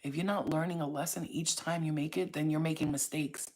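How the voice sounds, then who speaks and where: A woman speaks in a low, husky voice, loose and casual. She talks straight to her viewers as a spiritual reader, blunt and preachy, swearing freely and never softening her point. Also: steady tone, brisk. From Staten Island, New York. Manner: blunt, profane, preachy, streetwise. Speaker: spiritual reader and channel host. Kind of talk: casual talk to camera.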